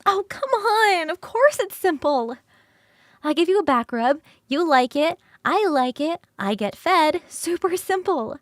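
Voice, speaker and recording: High-voiced, flirty succubus roommate, acted by a woman. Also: sing-song, measured pace, no echo, clean studio sound, heavily acted. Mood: exasperated, playful. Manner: bubbly, rambling, easily sidetracked, teasing. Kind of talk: ASMR roleplay acting.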